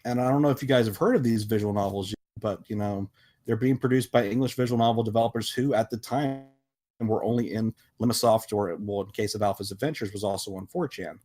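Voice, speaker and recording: Halting, emphatic man in podcast discussion. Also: fairly low pitch, warm tone. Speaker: podcast panelist and visual novel developer.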